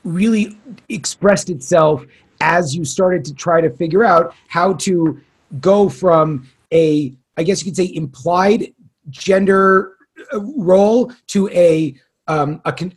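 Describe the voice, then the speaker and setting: A man interviewing in a conversational way, halting and wordy as he repeats and rephrases his questions. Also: a little high, husky. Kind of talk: conversational long-form interviewing.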